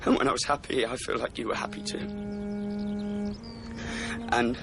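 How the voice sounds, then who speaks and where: A sensitive, practical teenage boy, speaks plainly in a radio play. The voice is creaky and jumps widely in pitch, with music behind it. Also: animated, normal speed. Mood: tearful, halting. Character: sensitive, practical teenage son who adores his dog. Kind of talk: naturalistic radio drama acting.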